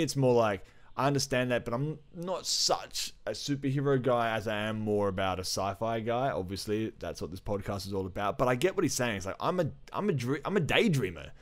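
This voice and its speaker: A man's voice: medium pitch, lively intonation, a little fast. A man with an Australian accent hosting a podcast in a blokey, informal, sweary chat. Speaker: podcast host.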